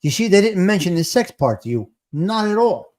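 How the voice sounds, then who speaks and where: A man's voice: high voice, sing-song, moderate pace, a little mellow. Plain-spoken New Jersey man hosting. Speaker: livestream host. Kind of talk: casual chat.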